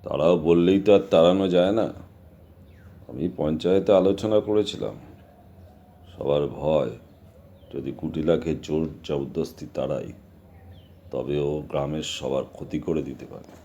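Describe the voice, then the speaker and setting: A very low, mellow voice carries this middle-aged village patriarch played by a male actor. He is calm and measured, with a fatherly authority that suits radio drama. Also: muffled recording, a little hiss or hum. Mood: grave. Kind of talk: audio drama dialogue.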